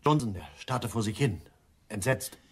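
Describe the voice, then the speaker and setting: Husky, defensive crime suspect. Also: male voice, fairly low pitch, normal speed. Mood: urgently insistent. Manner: clipped, protesting. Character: insistent, defensive male criminal suspect. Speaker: radio drama actor. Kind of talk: German radio crime play acting.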